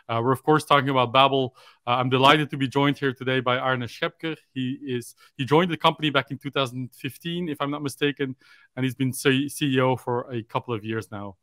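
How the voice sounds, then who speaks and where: A man in his forties, a native Dutch speaker, hosting an interview podcast over a video call with a headset. He speaks in a measured way, starting many phrases with "uh". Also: mid-range voice, fairly even intonation, a bit bright, dry recording. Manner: measured, fills pauses with "uh". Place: room at home, indoors.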